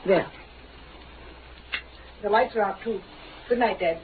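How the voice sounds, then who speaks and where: An actress playing a gentle, concerned grown daughter in an old radio drama. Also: female voice, medium pitch, slow, lightly acted. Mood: soothing, concerned. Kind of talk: 1930s-40s radio drama acting. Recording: narrow-band, noisy old radio recording.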